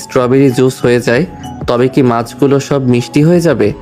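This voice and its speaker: A man's voice: medium pitch, steady tone, fast talker, a little mellow, soft background music, close to an everyday voice. A man playing a flustered, polite young male office employee in an audio romance story. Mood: earnest rambling. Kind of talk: audio story dramatized acting.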